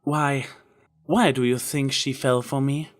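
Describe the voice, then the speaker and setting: A man acts out a flustered, insecure unicorn mare from a storybook, with pitch nudged up from his usual voice. She is timid and hesitant, often tripping over the starts of her sentences. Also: fairly even intonation, a little slow, lightly acted. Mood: unsure. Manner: hesitant, stammering.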